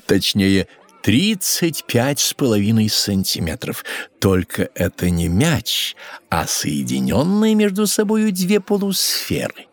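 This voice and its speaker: A man's voice: fairly low pitch, wildly swooping pitch, slow, no room sound, full character voice. An actor playing a proud, courteous seventeenth-century scientist explaining his experiment theatrically in an educational audio drama. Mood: proud, explanatory.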